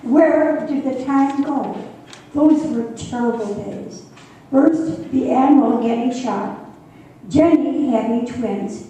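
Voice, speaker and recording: An older woman playing a regretful mother in an amateur radio play, with a halting, deliberate delivery. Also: fairly high pitch, dark tone. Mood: musing, regretful. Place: echoey room at a senior center. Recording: very echoey, distant mic, faint noise.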